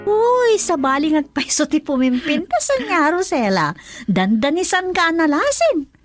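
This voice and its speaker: Chatty, high-voiced village woman. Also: sing-song, unhurried, soft background music. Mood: curious. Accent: Ilocano. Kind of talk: Ilocano radio drama acting.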